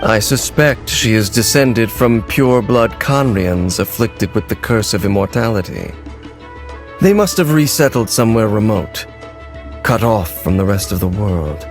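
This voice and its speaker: A man voicing a stern, aloof lone warrior in a video game, speaking in a formal, measured, terse manner. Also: lowish voice, animated, faint music behind. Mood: grave. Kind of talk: dramatic video game character acting.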